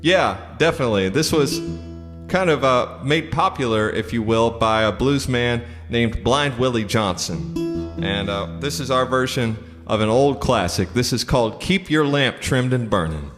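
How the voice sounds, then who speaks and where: A man's voice: mid-range voice, animated, moderate pace, big-room sound, music in the background. A man speaks as a performing musician introducing a song. His delivery is casual and conversational, in the manner of a folk concert. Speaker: musician introducing and singing songs. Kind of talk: casual stage introduction and folk gospel singing. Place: church, recorded concert performance.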